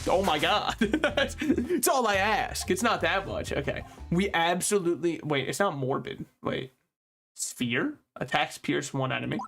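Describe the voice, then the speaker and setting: A man's voice: fairly high pitch, lively intonation, measured pace, gravelly, fairly bright tone, faint music behind. Joking young male game streamer. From United States. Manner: joking, rambling, self-mocking. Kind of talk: casual gameplay commentary.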